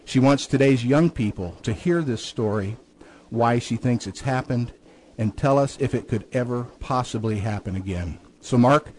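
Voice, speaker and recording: A man's voice: medium pitch, normal speed, darkish tone, slight background noise. A man hosting a radio talk show, who introduces his guest earnestly and stumbles and repeats himself when he speaks freely. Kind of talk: radio host introduction and chat.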